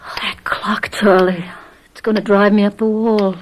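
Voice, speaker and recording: An actress playing an anxious, fretful wife in a radio drama, speaking in a hushed, worried way. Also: female voice, medium pitch, little pitch movement, normal speed, slight background noise, close to an everyday voice, rough recording. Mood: haunted, fearful.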